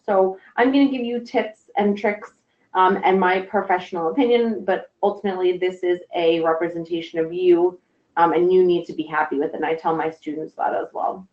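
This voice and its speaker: A woman career counselor presenting a webinar, slightly hoarse, friendly, with frequent ums. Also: on the low side, steady tone, fairly quick, echoey room, dull audio. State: a little hoarse. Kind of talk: presentation lecture.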